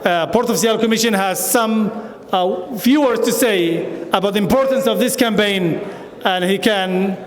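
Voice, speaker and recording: A man's voice: high-pitched, normal speed. A man acting as emcee who introduces the next speaker, with many filler "uh"s. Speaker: event emcee. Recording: echoey hall, long reverb.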